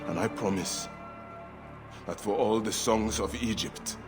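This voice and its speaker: A man's voice: fairly low pitch, unhurried, music in the background, lightly acted. An actor playing a grieving, fierce and resolute Egyptian warrior father in a video game cutscene. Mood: solemn resolve.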